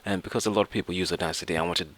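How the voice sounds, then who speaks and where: Male tutorial presenter, low pitch with a crisp bright edge, informal and explanatory. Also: fairly even intonation, racing. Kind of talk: informal software tutorial narration.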